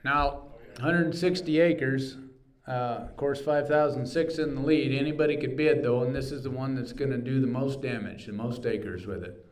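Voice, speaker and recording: A man's voice: medium pitch, normal speed, hardly any pauses. A man working as an auctioneer, calling bids in a repetitive, rhythmic chant and explaining the bidding plainly to the room.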